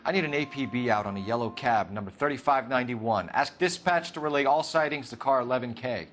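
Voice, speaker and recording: An actor playing a stern, clipped police detective giving orders in a video game crime drama. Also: male voice, mid-range voice, quick pace, fairly bright tone, natural voice. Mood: businesslike.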